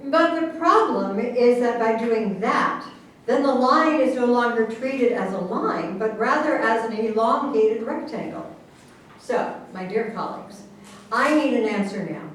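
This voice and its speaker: A woman's voice: on the high side, lively intonation, unhurried. A woman playing an imperious, self-important professor and government official in a staged satirical reading, speaking in a formal, lecturing manner. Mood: stern, demanding. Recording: echoey room, distant mic, faint noise.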